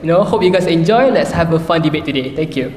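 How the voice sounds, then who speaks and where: A man from Malaysia, a debater introducing himself in a friendly, relaxed way. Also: a little high, quick pace, background noise. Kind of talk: casual self-introduction. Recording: echoey hall with long reverb.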